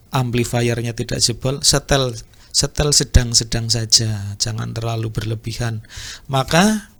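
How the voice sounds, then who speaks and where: A man teaches in an informal way, taking his time over each point. His voice has a creaky, gravelly edge and he often repeats key phrases. The pace is slow, like a hands-on instructor talking a learner through basics. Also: mid-range voice, fairly bright tone. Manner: unhurried explaining with repetition and throat clearing. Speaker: audio repair instructor. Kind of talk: informal spoken tutorial.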